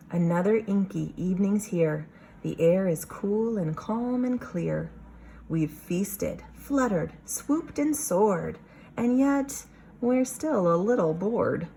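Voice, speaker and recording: A woman teacher reading a picture book aloud to young children, expressive, pausing to question and explain. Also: medium pitch, gravelly, slight background noise.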